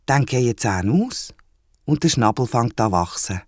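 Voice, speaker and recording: A storyteller voicing a brisk, matter-of-fact, gently chiding platypus mother with a Swiss German accent in a children's audio story. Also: mid-range voice, expressive, dry recording, clean studio sound, lightly acted. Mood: explaining. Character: brisk, teasing platypus mother with newborn twins.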